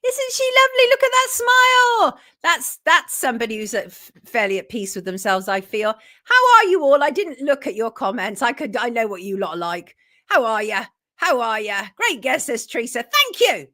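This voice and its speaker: Lively effusive woman host. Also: fairly high pitch, moderate pace. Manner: chatty and effusive, reads viewer comments aloud. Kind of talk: live-stream talk show chat.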